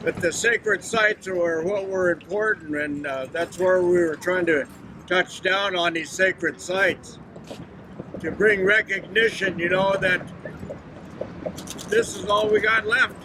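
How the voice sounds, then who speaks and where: A man speaking slowly and haltingly at an outdoor gathering, with many pauses and fillers. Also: sits high, fairly even intonation, background noise. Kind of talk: informal speech at a gathering.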